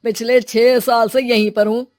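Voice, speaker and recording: Chatty young restaurateur for a story, done by a woman: high, persuasive, close dry sound. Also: flat delivery, measured pace, a little mellow, no echo, lightly acted. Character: friendly, persuasive young male restaurant owner.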